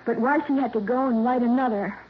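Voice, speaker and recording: An actress plays a bitter young woman in her twenties, fairly high-pitched and sharp-tongued. Her voice comes through thin and tinny, like an old radio broadcast with a faint hiss behind it. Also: brisk, close to an everyday voice. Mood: nervous. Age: 20s. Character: bitter young woman, niece cut from will. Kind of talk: 1950s radio drama acting.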